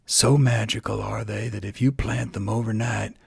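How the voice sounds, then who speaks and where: A man's voice: on the low side, steady tone, normal speed, gravelly, husky, dry recording, clean studio sound, pitched above the performer's own voice, full character voice. A man voicing a funny little old trader from a bedtime storybook, chirpy and persuasive. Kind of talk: storybook character in bedtime audio.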